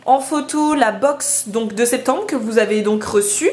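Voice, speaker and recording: Young woman vlogging at home w a cold, chatty, lots of ums, slightly high voice. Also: a little slow, a little mellow, roomy sound. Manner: chatty, many hesitations. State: has a head cold. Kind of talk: casual vlog chat. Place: at home indoors.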